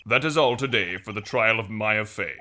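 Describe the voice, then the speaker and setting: Man playing a stern judge, forceful voice. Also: on the low side, normal speed, a little hiss or hum. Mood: commanding. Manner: formal, commanding. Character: stern, authoritative older male courtroom judge.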